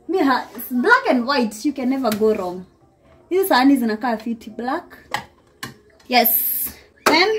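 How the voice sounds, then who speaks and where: A young Kenyan woman with a Kenyan accent gives a lively, laughing house tour, filmed on a handheld phone in a small apartment. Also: high voice, a little hiss or hum. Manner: lively, laughing often, talks straight to viewers. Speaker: vlogger giving a house tour. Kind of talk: casual chatty house tour mixing English and Swahili. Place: small apartment, indoors and on a balcony. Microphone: handheld phone camera.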